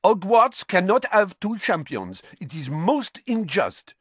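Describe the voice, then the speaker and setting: A haughty, indignant grand lady headmistress, acted by a male narrator, pitched well up. The delivery is imperious and protesting, with big melodic swings in a storybook style. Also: wildly swooping pitch, measured pace, a bit bright, slightly rough, no room sound, full character voice. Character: haughty, indignant large foreign headmistress, a woman.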